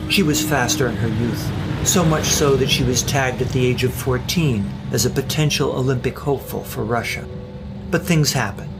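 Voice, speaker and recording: A man's voice: mid-range voice, normal speed, loud music behind the voice. A man reading scripted narration for an audio drama, with a wry storyteller's manner.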